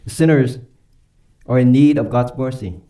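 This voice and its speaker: A man preaching a sermon and leading a closing prayer, earnest and emphatic, slowing down and dropping softly on key phrases. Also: medium pitch. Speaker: pastor.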